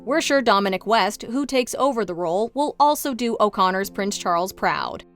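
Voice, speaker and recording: A woman's voice: medium pitch, expressive, normal speed, a bit bright, faint music behind. A woman reading scripted narration as the narrator of a countdown video.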